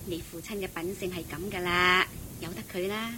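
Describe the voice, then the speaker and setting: A woman's voice: steady tone, moderate pace, close to an everyday voice. An actress playing an attentive, long-suffering wife and mother in a radio drama, with a Hong Kong Cantonese accent. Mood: exasperated. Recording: noisy old radio drama, low rumble.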